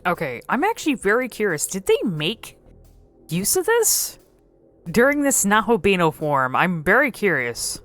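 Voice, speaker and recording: A woman giving casual, musing commentary on a game, often pausing and repeating words as she thinks. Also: mid-range voice, wildly swooping pitch, a bit bright, soft background music. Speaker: video creator commentating on a game.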